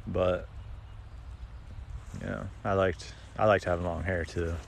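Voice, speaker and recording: A man's voice: low-pitched, flat delivery, a little slow, gravelly. An American man in his thirties chatting casually on a livestream, laid-back and rambling, talking into a phone held at arm's length outdoors. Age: 30s. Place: outdoors in open rural countryside. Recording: outdoor phone recording with ambient noise.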